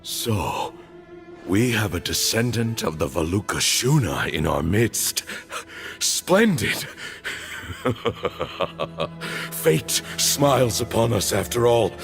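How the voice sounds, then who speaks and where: A man playing a frail, ailing old temple leader with a gravelly voice, fervent and prone to coughing. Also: fairly low pitch, sing-song, takes it slowly, pauses often, a bit bright, heavily acted. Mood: fervent, exultant. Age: older. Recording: dramatic music behind the voice.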